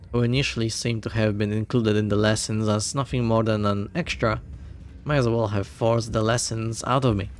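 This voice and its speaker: A man's voice: fairly low pitch, slight background noise, lightly acted. A man reading aloud as a carefree, wandering old martial arts master who drinks, telling his story at a leisurely pace.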